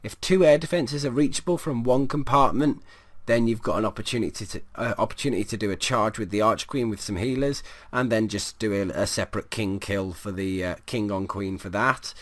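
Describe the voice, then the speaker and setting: A hoarse man commentating on a strategy game, his voice sitting lower than usual as it starts to give out. He talks casually and rambles, with plenty of ums and restarts. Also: brisk. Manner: rambling, many fillers and restarts.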